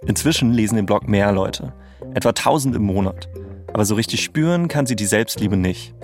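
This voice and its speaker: A man's voice: fairly low pitch, expressive, brisk, faint music behind. A man hosting and narrating a documentary podcast from a script.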